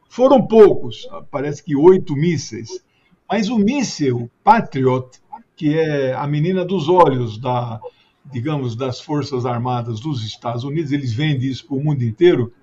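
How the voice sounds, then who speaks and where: A man's voice: a little high, darkish tone, slightly rough. A Brazilian man in his sixties giving emphatic, opinionated political analysis as an interview guest, often checking in with short tag questions. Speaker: political commentator, sociologist guest. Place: book-lined home study, indoors. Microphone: desk microphone on a video call. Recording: dull lo-fi video call.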